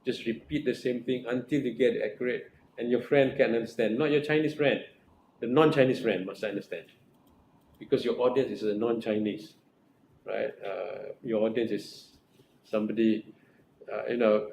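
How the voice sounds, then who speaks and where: A man's voice: medium pitch, fairly even intonation, moderate pace, gravelly, a little mellow. A middle-aged man with a Malaysian accent advising a research student as supervisor, earnest and repetitive, restarting phrases and asking "right?" often. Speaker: academic supervisor. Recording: echoey room with faint noise.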